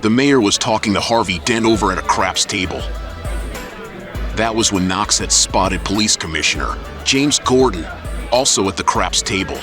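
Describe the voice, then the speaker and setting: A man narrating an audio drama in a steady storytelling manner. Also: on the low side, normal speed. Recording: music bed under narration.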